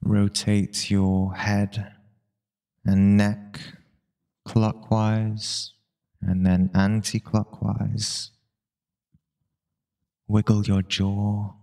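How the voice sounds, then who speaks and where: A man leading a guided meditation, giving calm spoken instructions in short, unhurried phrases with long pauses. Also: on the low side, vocal fry.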